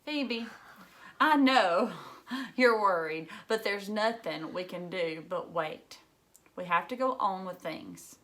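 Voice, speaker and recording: A woman's voice: medium pitch, lively intonation, takes it slowly, a bit bright. A woman voicing a worried father in a children's novel, firm and reasoning with his child. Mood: firm, resigned. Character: worried, firm but resigned father of a schoolgirl.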